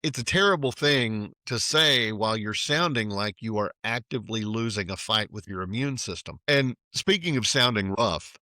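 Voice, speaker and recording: Low-voiced man, wry and forceful. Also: lively intonation, moderate pace, few pauses, no room sound. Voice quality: naturally low voice. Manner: tongue-in-cheek, conversational. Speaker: host. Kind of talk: wry monologue.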